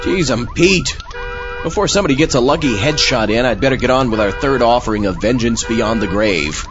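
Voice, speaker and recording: An actor playing an irritable, wisecracking zombie who hosts a comic horror show with sardonic asides. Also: male voice, medium pitch, lively intonation, fairly quick, lightly acted. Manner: sardonic, exasperated. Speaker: host. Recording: dull sound with music behind.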